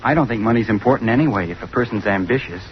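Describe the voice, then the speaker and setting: An actor playing an earnest, awkward, ambitious young chemistry student in an old-time radio drama, hesitant and over-polite. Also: male voice, lowish voice, rapid-fire, lightly acted. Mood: meek, eager. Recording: muffled, noisy old radio recording.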